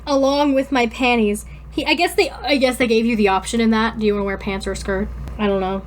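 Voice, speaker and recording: A giggly, informal woman co-hosting a podcast, reading fan fiction aloud haltingly and breaking off to comment. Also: fairly high pitch, steady tone. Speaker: podcast co-host.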